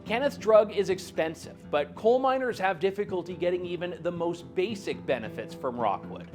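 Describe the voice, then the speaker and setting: A man's voice: sits high, lively intonation, normal speed, music in the background. A man narrating a documentary in a scripted, pointed, accusatory delivery.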